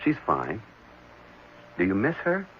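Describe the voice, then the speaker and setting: An actor playing a gentle, earnest married foster father in a 1970s radio drama, speaking hesitantly and reassuringly. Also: fairly low pitch, huge pitch swings, takes it slowly, exaggerated voice. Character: gentle, caring married man fostering an orphan boy. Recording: muffled, noisy old radio broadcast.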